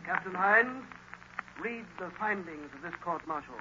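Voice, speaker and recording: Muffled old radio, stern male general, high-pitched, clipped authority, formal. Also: animated, unhurried. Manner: commanding, formal. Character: stern, impatient army general presiding over court-martial. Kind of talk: 1930s radio drama acting. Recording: noisy muffled 1930s radio recording.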